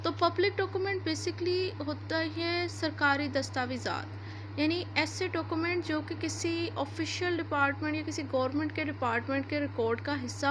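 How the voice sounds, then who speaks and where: High-pitched Pakistani woman giving a lecture. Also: noisy background. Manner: didactic, repeats and rephrases points. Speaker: law lecturer. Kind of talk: explanatory lecture mixing in English legal terms.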